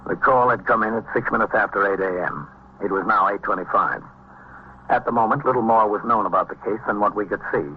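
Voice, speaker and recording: An actor playing a calm, methodical police captain in a vintage radio drama, dry and matter-of-fact, with clipped procedural delivery. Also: male voice, on the low side, a little fast, breathy edge. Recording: dull, noisy vintage radio recording.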